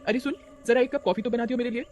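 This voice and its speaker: A man voicing a bossy but kindly young housewife in a dramatized story, with a brisk, familiar manner. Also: extremely high pitch, steady tone, darkish tone, slight background noise.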